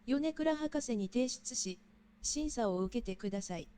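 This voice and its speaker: A woman's voice: on the high side, lively intonation, fairly quick, warm tone, natural voice. A woman playing an impersonal female announcer, reading formal procedural notices in a scripted manner.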